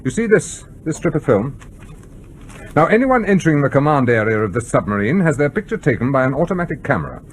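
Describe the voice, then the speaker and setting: An actor playing a stern, menacing submarine commander in a radio drama, clipped, commanding and coldly polite. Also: male voice, on the high side, sing-song, quick pace, slight background noise. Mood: cold menace. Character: stern, menacing male nuclear submarine commander interrogating a crewman. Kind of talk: broadcast radio drama acting.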